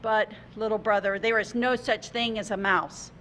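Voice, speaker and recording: A woman voicing a great, noble male buffalo who is sick and dying, speaking gravely over a video call. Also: medium pitch, fairly even intonation, moderate pace, fairly bright tone, a little hiss or hum, lightly acted. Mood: weary.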